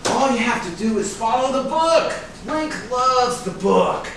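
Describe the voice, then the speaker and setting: Man playing a high-voiced, pushy film agent, echoey stage. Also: animated, a little slow, gravelly, a bit bright, full character voice. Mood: scolding, pushy. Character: brash, pushy male film agent, excitable and scolding. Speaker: actor in a staged reading. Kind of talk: theatrical staged reading. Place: indoor room at a live staged reading. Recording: echoey room, distant mic, background hiss.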